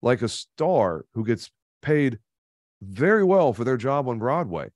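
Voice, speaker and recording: A man in his thirties, a podcast guest in a home studio, coaching the listener emphatically on a boom-mounted studio microphone. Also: sing-song, unhurried, darkish tone, no room sound. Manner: emphatic, coaching, repeats key points. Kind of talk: conversational webinar interview. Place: home studio with neon sign. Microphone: studio mic on boom arm, headphones.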